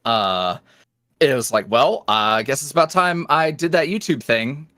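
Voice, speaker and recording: A man's voice: fairly high pitch, lively intonation, moderate pace, a bit bright, no room sound, clean studio sound. A man speaking as a podcast guest in casual interview chat, with a wry, self-deprecating, rambling manner. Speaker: podcast guest, ASMR roleplay creator.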